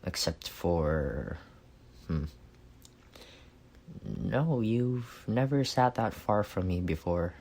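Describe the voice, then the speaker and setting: A man acting a reserved, awkward and earnest best friend in an intimate roleplay, speaking softly and haltingly. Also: deep voice, expressive, a little hiss or hum, exaggerated voice. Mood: calm, hesitant. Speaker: voice actor.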